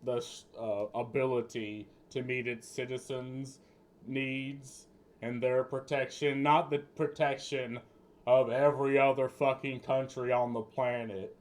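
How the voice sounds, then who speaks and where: A man's voice: medium pitch, little pitch movement, unhurried, a little mellow. A man giving rambling casual commentary on news clips, swearing often and restarting his sentences. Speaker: streamer reacting to news clips.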